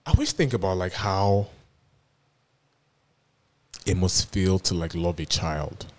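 A man with a very low, deep voice talks easily with a friend on a podcast. He is from Nigeria, and he jokes and swears casually as he tells stories about names and family. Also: lively intonation, slow, darkish tone. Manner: laid-back, joking, swears casually. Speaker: podcast co-host. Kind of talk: casual chat.

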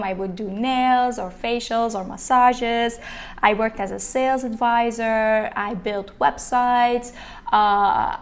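Chatty woman hosting a podcast. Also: fairly high pitch. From Belgium. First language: Dutch. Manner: warm, chatty and encouraging. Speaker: podcast host, business coach. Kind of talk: solo podcast monologue.

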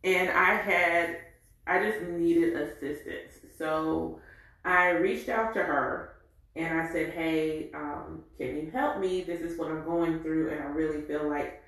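A woman in her forties telling a candid, reflective personal story in an animated way. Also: low voice, takes it slowly. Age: 40s. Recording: very echoey room.

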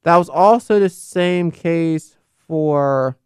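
Young man, casual commentary, rambling, self-correcting, smooth voice, dry close sound. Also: fairly high pitch, slow, darkish tone, no room sound. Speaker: football video host. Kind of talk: casual unscripted commentary from notes.

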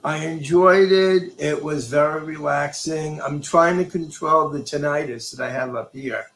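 Soft-spoken man talking slowly and low. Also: creaky. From New York and Connecticut. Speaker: psychic medium. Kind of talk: live online chat and readings.

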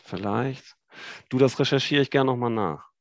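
A man in his thirties from Hamburg with a northern German accent, chatting as a guest on a video call, relaxed and hesitant with many fillers. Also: medium pitch, normal speed, no room sound, lo-fi sound. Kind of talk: casual chat.

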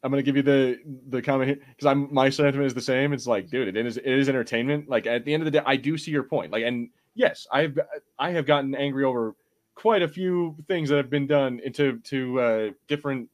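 A man with a medium-pitched voice in casual podcast talk. He hosts in a measured, conciliatory manner, pausing and rephrasing as he makes his point. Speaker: podcast host.

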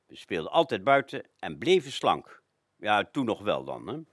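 A man's voice: lowish voice, big pitch swings, normal speed, dry recording, clean studio sound. An actor playing a nostalgic older man recalling a frugal childhood, musing expressively and colloquially in a radio play. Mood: wistful, animated.

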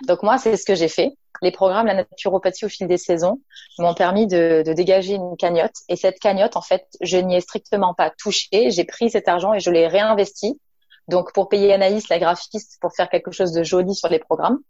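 A woman's voice: fairly low pitch, fairly even intonation, moderate pace, warm tone, no echo, dull audio. A woman from Nice, a naturopath and entrepreneur, talking casually in an interview with frequent hesitations and an informal tone. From Nice, south of France. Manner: chatty, many fillers, addresses listener informally.

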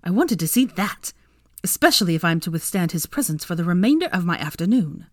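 A woman's voice: fairly low pitch, sing-song, a little fast, darkish tone, no echo. A sly grown woman who needles her friend with smirking, mock-polite remarks, read by a woman. Her voice rises and falls a lot, and the sound is dry and close. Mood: teasing. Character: Ginny, adult woman, sly and teasing.

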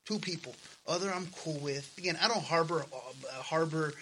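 Bright-voiced, slangy man in his thirties. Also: fairly high pitch, normal speed, a little hiss or hum, poor audio. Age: 30s. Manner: slangy, earnest, swearing freely. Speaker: podcast co-host. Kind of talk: casual personal chat.

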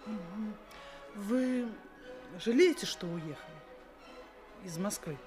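A middle-aged woman's voice with big swoops of intonation and a very slow, deliberate delivery. She plays a genteel, probing mother of a bride in a radio drama, insinuating and theatrical. Quiet music runs behind the 1980s recording. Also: mid-range voice, expressive. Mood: coy, probing. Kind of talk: theatrical radio play acting.

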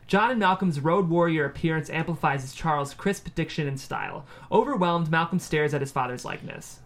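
Higher-voiced man narrating a screenplay. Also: fairly quick, a bit bright. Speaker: stage-directions narrator.

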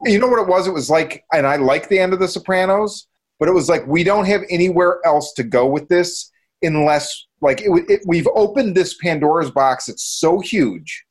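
A man with a slightly high voice chats as a podcast co-host. His delivery is informal and opinionated, thinking out loud in a casual discussion. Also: moderate pace.

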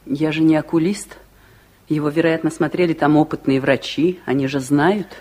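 An actress playing a fussy, practical middle-aged mother, easily exasperated but soft-hearted, in a 1960s Soviet radio play. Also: sits low, moderate pace. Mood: reluctant, reasoning. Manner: expressive, fussing, theatrical.

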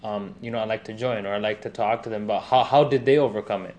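A man's voice: fairly low pitch, steady tone, fast, a little mellow. A man hosting a casual conversation, chatty and full of "like" and "right?".

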